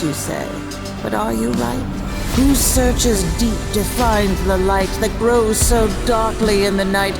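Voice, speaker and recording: A woman playing a mysterious time-travelling wanderer, reciting dramatic verse in a solemn, incantatory way. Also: fairly low pitch.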